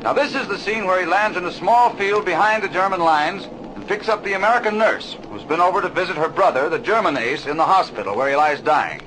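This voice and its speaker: An actor playing a confident film director in a radio adventure serial, formal, precise and upbeat. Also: male voice, sits high, animated, fairly quick, few pauses. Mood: brisk, instructive. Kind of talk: old-time radio adventure serial acting. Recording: noisy old radio recording with engine drone.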